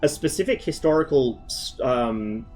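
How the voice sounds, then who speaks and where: A man in the middle of his vocal range chats loosely with his stream viewers, trailing off into tangent after tangent and swearing freely. He has a mild speech impediment that softens his L sounds and a lingering cough from a recent illness. Faint music plays underneath. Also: medium pitch, expressive, unhurried. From Australia. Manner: rambling, swears a lot, jumps between tangents. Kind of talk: casual rambling chat with stream viewers.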